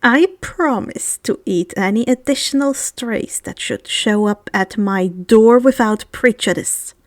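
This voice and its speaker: A woman's voice: on the high side, a little slow, no room sound, voice pushed down, lightly acted. A woman voicing a sneering, sardonic male teacher in a storybook, with a dry, disdainful and formal manner. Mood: dry sarcasm.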